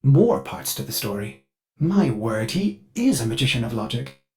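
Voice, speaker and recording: A man's voice: mid-range voice, very animated, normal speed, darkish tone, close to an everyday voice. A man voicing a fussy, eager ship artificial intelligence in a video game, polite and theatrical, with a manic edge under the courtesy. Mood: delighted, fascinated. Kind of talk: scripted video game character dialogue.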